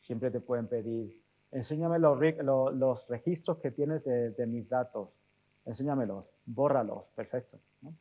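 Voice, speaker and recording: A man in his forties speaking as a guest in an on-stage interview, thinking aloud haltingly and often checking with short tag questions. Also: mid-range voice, mellow, poor audio. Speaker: guest speaker, technology executive. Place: auditorium stage. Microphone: lapel mic.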